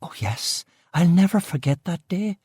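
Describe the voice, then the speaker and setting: A blunt, wary middle-aged cook with a West Belfast accent, put on by a man reading an audiobook. Her lines come out short and clipped in a pitch raised high above the reader's own. The sound is mellow and dry, close to the mic. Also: lively intonation, unhurried, slightly rough, no echo, exaggerated voice. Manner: curt, clipped local speech.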